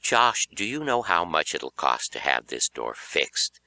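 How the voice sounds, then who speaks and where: A man's voice: sits low, big pitch swings, creaky, pushed and bright, pitched below the performer's own voice, exaggerated voice. A man voicing a stern, exasperated mother in an audiobook, scolding and demanding. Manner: slow, demanding. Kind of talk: acted audiobook dialogue.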